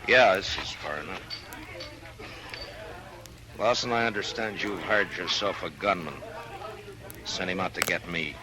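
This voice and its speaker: An American man acting a stern, weary frontier marshal in 1950s radio drama, terse and forceful. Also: deep voice, measured pace, long pauses, a crowd in the background. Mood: menacing. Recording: vintage radio recording with music.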